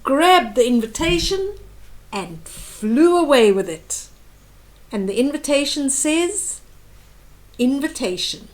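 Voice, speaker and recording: A woman narrates a children's picture book in a high, very lively voice. She takes her time, adding dramatic emphasis and little asides for young listeners. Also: slow, rough recording. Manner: animated, exclaiming, dramatic emphasis. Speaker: children's storybook reader. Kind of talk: expressive read-aloud story narration with explanatory asides.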